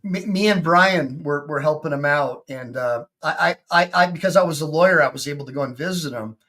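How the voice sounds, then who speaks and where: A man in his fifties, a personal injury attorney hosting a casual video-call chat, excitable and full of stammering restarts. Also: fairly high pitch, brisk. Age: 50s.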